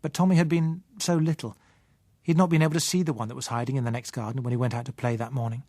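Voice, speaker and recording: A British man narrates a first-person novel. His voice is mid-pitched and his delivery is measured and literary. The recording is dry, with very little room around the voice. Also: fairly even intonation, a little mellow, no room sound. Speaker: audiobook narrator.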